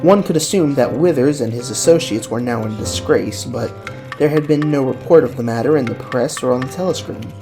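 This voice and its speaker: A man narrates a dramatized audiobook in a medium-pitched voice. He reads scripted literary prose, and music plays clearly behind him. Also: a little fast.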